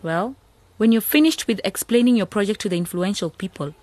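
A sharp young village woman who speaks bluntly and sardonically, as an actress plays her in a radio drama. Her voice is mid-pitched. The studio sound is dry. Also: animated, a little fast, no room sound, lightly acted.

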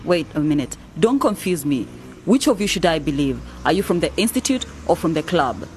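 A woman acting a brisk, authoritative press council director in a scripted radio drama. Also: sits low, sing-song, a little mellow, slight background noise. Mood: impatient. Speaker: actor in an educational radio drama.

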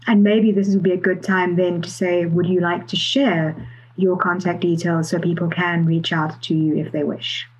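A woman's voice: on the low side, flat delivery, normal speed, a little hiss or hum, rough recording. A woman hosting an interview, asking questions in a conversational style. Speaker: interviewer, host.

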